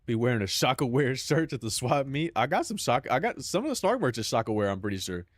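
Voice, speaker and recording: A man's voice: medium pitch, sing-song, quick pace, no echo, studio quality. A young man with a Southern Californian accent, chatting casually on a stream in loose, profane banter full of "bro".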